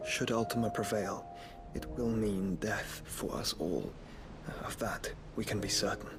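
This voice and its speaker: A man acting a solemn young noble in a fantasy video game, formal and earnest in his deliberate delivery. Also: on the low side, close to an everyday voice. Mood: solemn foreboding. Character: solemn noble younger brother, host of the Phoenix. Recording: loud orchestral music behind. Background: music.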